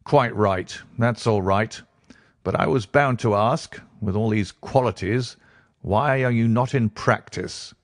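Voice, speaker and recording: A brusque, bustling gentleman fires off blunt questions and lays out a business deal, as a man voices him in a storybook reading. The voice sits a little lower and gruffer, sometimes set a touch back from the mic. It is recorded in a dry, close space with no room sound. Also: takes it slowly, no room sound. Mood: abrupt. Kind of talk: audiobook character reading.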